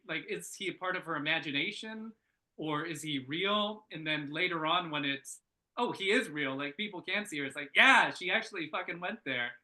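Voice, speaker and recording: Bright-voiced man giving halting, informal feedback. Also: a little high, rough recording. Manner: halting, thinking aloud. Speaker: script reader giving feedback. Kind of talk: casual workshop feedback discussion.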